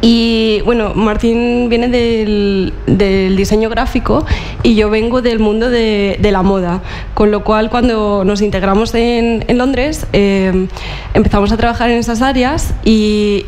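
A young woman from Murcia, a curator, speaking on a panel in a large auditorium with relaxed, hesitant talk and many fillers. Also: fairly high pitch. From Murcia, Spain.

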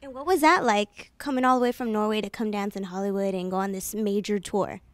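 A woman's voice: medium pitch, brisk, dry recording. A young woman interviewing a dancer, upbeat and encouraging, speaking into a handheld mic in an indoor lounge. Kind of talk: entertainment interview. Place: indoor lounge at a rehearsal studio.

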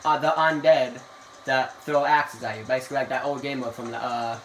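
A young man rambling through casual gaming chat, bossy and playful in a teasing way. His voice comes from a distance in an echoey room, with clear background noise under it. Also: mid-range voice, fairly even intonation, normal speed, fairly bright tone. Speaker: gaming video host. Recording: echoey room, mic far, noisy.

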